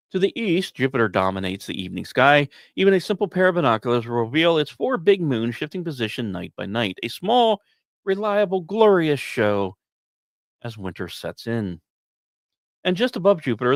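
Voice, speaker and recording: A man's voice: mid-range voice, dry recording. A man hosting a podcast, narrating scripted science news with wry, self-deprecating asides and theatrical emphasis. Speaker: podcast host.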